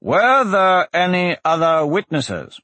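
A man voicing an older, stern and sardonic magistrate with measured, formal speech in a fantasy audiobook. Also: sits high, big pitch swings, sharp tone, no room sound, voice pushed up, full character voice. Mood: dry, probing. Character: older grey-browed male magistrate, dry, stern, sardonic. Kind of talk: fantasy audiobook character dialogue.

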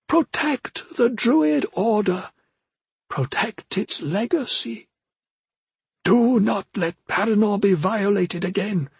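A man voicing a solemn old ghostly mentor in an audiobook, with a thin, strained voice and slow, formal, drawn-out phrasing. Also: sits high, vocal fry, breathy edge, no echo, pitched above the performer's own voice, full character voice. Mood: solemn, commanding. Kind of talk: audiobook character acting.

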